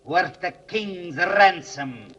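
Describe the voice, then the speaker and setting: An actor playing a sly, greedy old ghostly sea dog with stage pirate dialect in an old radio drama. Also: male voice, high-pitched, expressive, pushed and bright, exaggerated voice. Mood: sly gloating. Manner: cackling, archaic sailor talk, drawn-out delivery. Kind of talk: old-time radio acting. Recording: muffled vintage radio recording.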